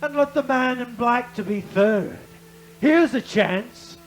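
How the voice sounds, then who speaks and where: A man acting a sly, high-voiced scavenger. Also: slow pace, a little hiss or hum, poor audio. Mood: brazen, gleeful. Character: sly, grumbling scavenger haggling over a dead man's belongings. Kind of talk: radio play acting.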